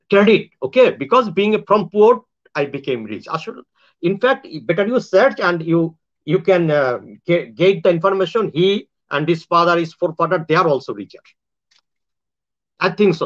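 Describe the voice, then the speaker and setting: A Bangladeshi man speaks English with a Bangladeshi accent in a high, bright voice. He rambles to his viewers in a loose monologue, correcting himself often and making grand claims. The recording sounds dull and lo-fi. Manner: rambling, with many restarts and self-corrections. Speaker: online show host.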